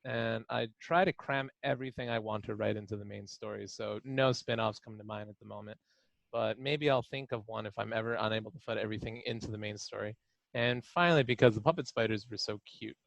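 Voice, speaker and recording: A man's voice: on the low side, steady tone, brisk, creaky, fairly bright tone, no room sound, rough recording. A man chatting casually and reading out answers in an interview, playful and enthusiastic. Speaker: editor reading author's answers. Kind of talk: casual panel chat with read-out answers.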